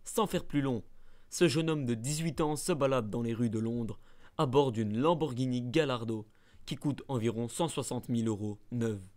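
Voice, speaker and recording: A man's voice: medium pitch, moderate pace, darkish tone. A man narrating a scripted ranking video, adding playful mock-dramatic asides.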